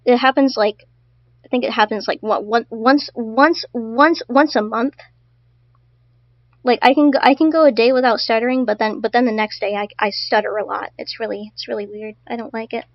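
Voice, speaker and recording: A woman's voice: on the high side, fairly even intonation, moderate pace, no room sound, highs cut off. A woman streaming casually to her chat, stuttering often and repeating her words. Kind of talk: casual live-stream commentary.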